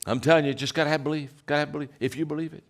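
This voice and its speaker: A man with a deep voice giving a motivational talk, telling stories with many restarts and acted-out quotes. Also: fairly even intonation, rapid-fire, gravelly. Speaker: leadership speaker.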